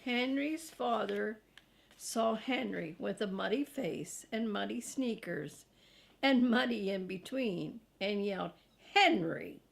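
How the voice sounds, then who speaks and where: An older woman reading a children's storybook aloud in an expressive, grandmotherly way, drawing out key words and adding chatty asides for young listeners. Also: mid-range voice, takes it slowly, vocal fry.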